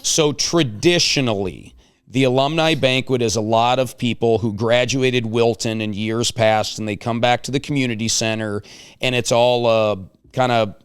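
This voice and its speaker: A man's voice: medium pitch, fairly even intonation, normal speed, smooth. A man hosting a casual talk show, chatting with guests in a playful, joking way. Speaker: show host.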